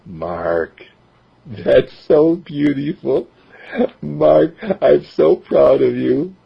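A man voicing a patient, loving mother who speaks in a soft, coaxing way. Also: fairly high pitch, animated, drawn-out words, vocal fry, husky, highs cut off, voice pushed up, heavily acted. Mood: delighted.

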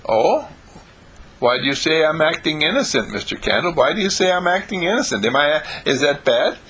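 A man's voice: on the high side, very animated, normal speed, a bit bright, breathy edge, full character voice. A man voicing an earnest, chatty four-year-old boy who speaks in repetitive, childlike phrases. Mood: eager, innocent.

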